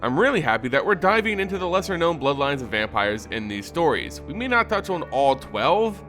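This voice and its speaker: Male fantasy lore narrator: slightly high voice, very expressive, theatrical scripted read over music. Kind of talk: dramatic scripted storytelling and narration.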